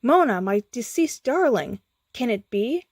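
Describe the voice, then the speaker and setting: A woman's voice: on the high side, sing-song, measured pace, darkish tone, dry recording, clean studio sound, voice pushed up. A woman voicing a big hairy male storybook hero, earnest and bewildered, who exclaims and pleads. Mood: lovestruck, amazed.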